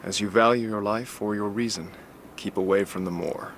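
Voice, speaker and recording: An actor playing a brisk, plain-spoken young heir in a radio drama, with a bluff, direct, informal manner. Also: male voice, lowish voice, fairly even intonation, fairly bright tone. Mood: uneasy, determined. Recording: outdoor ambience noise, vehicle sounds.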